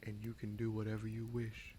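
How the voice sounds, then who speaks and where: A man playing a vengeful, brooding bastard prince and sorcerer in a fantasy audio roleplay, speaking in a measured, solemn, halting way. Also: lowish voice, completely flat delivery, mellow, a little hiss or hum, lightly acted. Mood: solemn, earnest.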